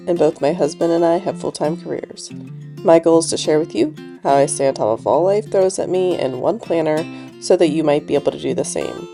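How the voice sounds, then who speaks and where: A woman's voice: fairly low pitch, creaky. A middle-aged woman with a congested voice that is starting to go, hosting a casual plan-with-me voice-over and thinking aloud as she explains. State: congested, losing voice. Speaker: planner channel host.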